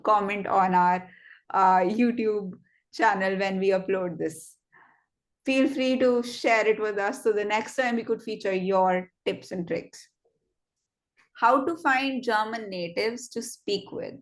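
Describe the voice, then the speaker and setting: Chatty young woman giving friendly advice. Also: mid-range voice. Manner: chatty, encouraging, quick asides with fillers. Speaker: host of a student network session. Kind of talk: interactive online session, casual advice.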